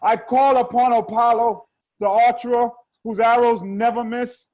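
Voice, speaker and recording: Very high-voiced, slow, pleading tragic chorus. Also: male voice, little pitch movement, a little mellow, rough recording. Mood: fearful, pleading. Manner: slow, fervent declamation. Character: terrified, pleading Theban chorus praying to the gods. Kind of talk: incantatory dramatic reading of Greek tragedy.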